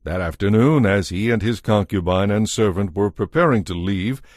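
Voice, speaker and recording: A man narrating a dramatized Bible as a scripted story, in a measured storytelling manner. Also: fairly low pitch, a little mellow, dry recording, clean studio sound.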